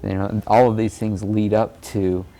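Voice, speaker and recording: A man with a low voice and a gravelly creak talks in an informal, reflective way. His delivery is earnest and halting, with very little movement in pitch. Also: level tone, dark tone. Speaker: amateur cyclist and blogger.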